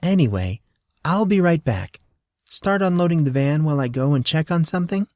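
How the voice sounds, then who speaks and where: A woman's voice: low voice, animated, normal speed, mellow, dry recording, clean studio sound, voice pushed up. A man voicing a caring woman who sounds encouraging but slightly worried. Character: warm, caring woman seeing a teen off.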